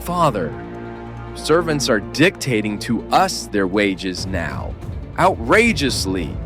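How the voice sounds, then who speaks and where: An actor playing an indignant, haughty son in a medieval audio drama. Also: male voice, fairly low pitch, very animated, slow pace, over music, full character voice. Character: indignant, haughty son complaining about servants.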